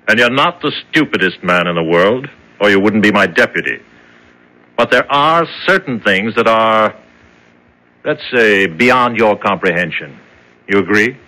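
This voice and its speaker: An actor playing a cold, condescending prison chief in a 1970s radio drama. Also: male voice, fairly low pitch, expressive, unhurried, sharp tone, rough recording. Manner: condescending, clipped. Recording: old radio drama recording.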